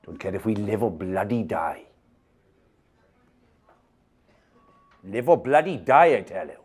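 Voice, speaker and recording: A man's voice: deep voice, fairly quick, a little mellow, husky. An actor playing a cantankerous, foul-mouthed older male war veteran with a British accent, grumbling and indignant. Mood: ranting. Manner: grumbling, indignant, swears often. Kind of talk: film drama acting.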